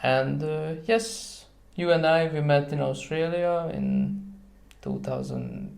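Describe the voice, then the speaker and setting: A man's voice: fairly high pitch, huge pitch swings, slow, gravelly, a little mellow. A man from Hungary with a Hungarian accent, chatting casually as an interview guest in a somewhat hesitant way. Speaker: podcast guest.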